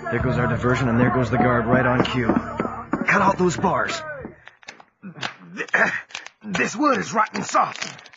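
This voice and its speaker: Gravelly urgent escaping prisoner. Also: male voice, medium pitch, unhurried, long pauses. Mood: urgent, straining. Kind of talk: audio drama acting. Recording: dull recording with loud noise.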